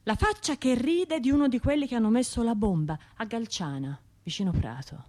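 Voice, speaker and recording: An actress playing a wounded, bitter bombing survivor in a radio theatre monologue, confiding in colloquial speech. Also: female voice, fairly high pitch, expressive, normal speed, a little mellow. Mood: haunted, sardonic. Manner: colloquial, confiding, wry, shifting between narration and imagined voices.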